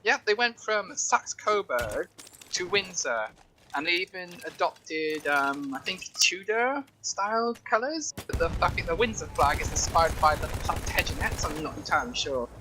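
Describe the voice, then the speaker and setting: A man casually explaining history in a halting way as a guest in a gameplay chat. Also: high voice, lively intonation, sharp tone, a little hiss or hum.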